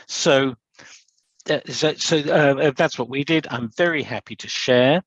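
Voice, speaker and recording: A man's voice: mid-range voice, measured pace, no echo, dull audio. A man from a business school presenting at an academic conference, hesitant with many uhs.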